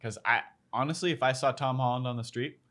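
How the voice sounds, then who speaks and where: A man's voice: medium pitch, steady tone, fast talker, a bit bright. A young man chatting casually as a movie review panelist, joking and blunt. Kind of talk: casual chat.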